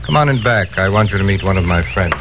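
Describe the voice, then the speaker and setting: An actor playing a measured, businesslike bootlegging boss with a controlled, streetwise authority, in a 1940s radio crime drama. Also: male voice, low voice, lively intonation. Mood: confiding. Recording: dull, faintly noisy old radio broadcast.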